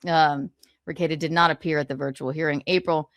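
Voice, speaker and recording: A woman's voice: deep voice, fairly even intonation, brisk, dry recording, clean studio sound. A woman streamer reads from a book aloud and breaks off for sarcastic comments and chuckles. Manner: sarcastic asides, frequent chuckles; thanks viewers for donations mid-read.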